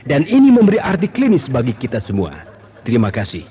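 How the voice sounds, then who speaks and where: An actor playing an earnest medical professor in an old Indonesian radio drama, addressing colleagues in a formal, measured lecturing manner. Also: male voice, mid-range voice, huge pitch swings, slightly rough, exaggerated voice. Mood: formal, assured. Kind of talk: 1980s-90s Indonesian radio drama acting. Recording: dull old radio recording.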